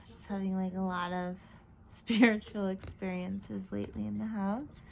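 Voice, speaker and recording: A woman with a croaky, gravelly voice gives a spiritual card reading into her camera at home. She wanders from thought to thought, leaning on fillers and sinking now and then into a whisper. A faint hiss sits under the recording. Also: medium pitch, steady tone, moderate pace, a little mellow. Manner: meandering, reflective, frequent fillers and drifting into whispers. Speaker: tarot reader. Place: home room. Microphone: camera mic.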